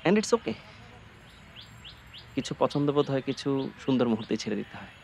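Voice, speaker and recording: A man's voice: medium pitch, sing-song, fairly quick, vocal fry, darkish tone, a little hiss or hum. An actor playing a devoted, impulsive young man in love, emotional and insistent, with a Bangladeshi accent. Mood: resigned, tender. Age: young adult. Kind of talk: naturalistic short-film dialogue.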